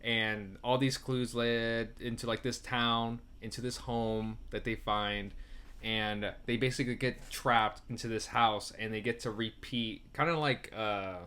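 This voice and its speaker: A man's voice: medium pitch, steady tone, measured pace, sharp tone, clean tone. A man co-hosting a movie review podcast, talking casually and loosely as he searches for words. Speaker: podcast co-host. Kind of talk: casual film review chat.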